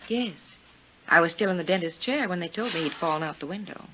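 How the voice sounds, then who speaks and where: A woman's voice: lowish voice, expressive, fast, sharp tone. A woman playing a composed, worldly widow in a 1950s radio drama, cool, controlled and guarded. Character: composed, worldly young-to-middle-aged widow giving testimony. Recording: dull, noisy 1950s radio recording.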